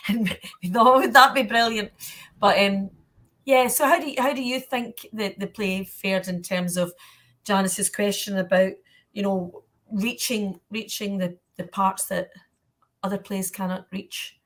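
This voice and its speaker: A woman's voice: medium pitch, a little slow, a bit bright. Chatty woman hosting a panel. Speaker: panel host. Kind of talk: informal panel discussion.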